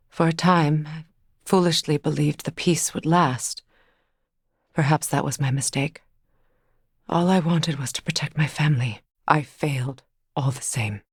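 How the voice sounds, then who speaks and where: An actress playing a grave, sorrowful exiled woman of magic in a video game, speaking in measured, formal phrases. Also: lowish voice, dry recording, clean studio sound. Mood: pained, reflective. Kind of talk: dramatic game character dialogue.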